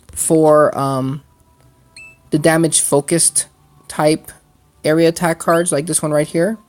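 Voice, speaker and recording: A gameplay host speaks in a mid-pitched voice, explaining strategy in a casual, off-the-cuff way. Music plays behind the commentary. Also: darkish tone.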